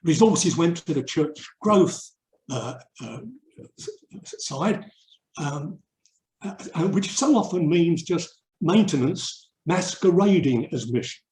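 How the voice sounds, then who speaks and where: Older english guy doing a thoughtful talk online, fairly high mellow voice, earnest, roomy echo. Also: lively intonation, takes it slowly. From England. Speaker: urban mission speaker and theologian. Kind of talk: reflective webinar talk. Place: indoor room, online webinar. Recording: roomy, echoey webinar audio.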